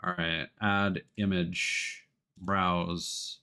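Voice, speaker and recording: Low-voiced, bright, animated male game streamer. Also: drawn-out words, gravelly, clean tone. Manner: informal, gamer slang, swearing, thinking aloud. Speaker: live streamer, let's play host. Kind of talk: casual chat with viewers while setting up a game stream. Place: indoors at a computer.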